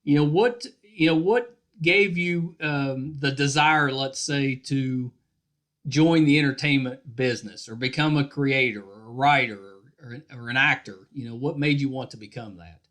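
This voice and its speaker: A man's voice: medium pitch, normal speed, a bit bright. A man in his thirties hosting a casual interview in an easygoing way, repeating phrases for emphasis. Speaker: podcast host, interviewer.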